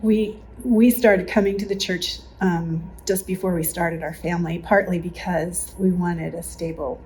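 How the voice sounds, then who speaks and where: Low, even-voiced woman sharing a personal story. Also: measured pace, a little hiss or hum. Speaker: longtime church member.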